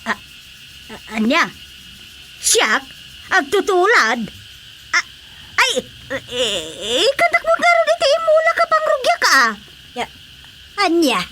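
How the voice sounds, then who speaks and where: High-voiced, indignant village busybody woman. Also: wildly swooping pitch, takes it slowly, pauses often, heavy background noise, full character voice. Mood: flustered, whining. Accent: Ilocano Filipino. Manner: complaining, exclaiming. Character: indignant, nosy village woman lodging a complaint. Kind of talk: Filipino radio drama comedy acting.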